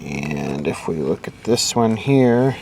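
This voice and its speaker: A man with a slightly low voice talks very slowly and offhandedly through a hands-on repair. He thinks aloud like a hobbyist at the bench. It sounds like amateur camera audio, with faint noise behind him. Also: sing-song, darkish tone, rough recording. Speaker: electronics repair hobbyist presenting his own video. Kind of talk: casual hands-on repair commentary.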